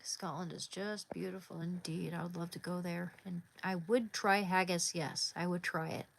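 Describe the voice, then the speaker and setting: A woman's voice: fairly low pitch, moderate pace, vocal fry, a little hiss or hum, rough recording. Chatty woman from Oregon livestreaming. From Oregon, USA. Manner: relaxed, chatty, answers viewers by name. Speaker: livestream host. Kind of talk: casual live chat reading comments.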